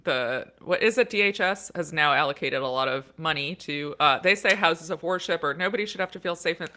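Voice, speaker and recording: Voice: medium pitch, brisk, sharp tone. A co-host of a casual political talk show, speaking hesitantly with frequent fillers and laughing asides.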